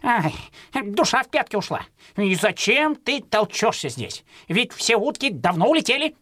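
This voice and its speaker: A woman's voice: a little high, sing-song, moderate pace, a bit bright, no room sound, studio quality. An actress playing a nervous, chatty male hare in an audio fairy tale, fretful and full of exclamations. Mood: startled, scolding.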